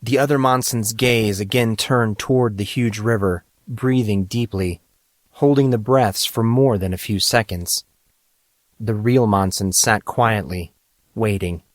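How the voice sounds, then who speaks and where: A man reading scripted fantasy fiction as an audiobook narrator, with deliberate, dramatic storytelling. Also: lowish voice, dry recording.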